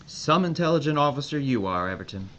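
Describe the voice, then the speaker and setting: A terse, commanding American army major in a war audio drama, played by a man. He has a mid-pitched voice and an American accent, with faint noise on the recording. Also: sing-song, fast, fairly bright tone. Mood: brisk, commanding. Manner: authoritative, terse.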